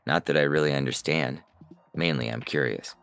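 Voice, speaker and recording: A man's voice: a bass voice, moderate pace, creaky, a bit bright, soft background music. A man reading scripted first-person science fiction in a wry, conversational storytelling way. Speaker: narrator and reader.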